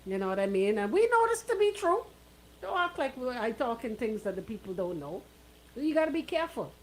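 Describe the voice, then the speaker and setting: A woman's voice: on the high side, big pitch swings, fairly quick, slight background noise, rough recording. A Bahamian woman hosting casual radio talk, emphatic, repeating her points and checking in with "right?". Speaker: radio host.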